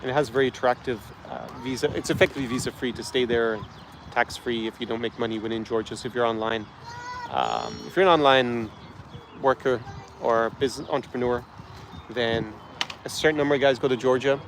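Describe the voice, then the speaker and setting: A man's voice: medium pitch, lively intonation, brisk, background noise. A man with an Irish accent, hosting a frank, rambling talk to camera outdoors on a city street. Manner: rambling, frank, with frequent ums and restarts. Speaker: travel and lifestyle guide host.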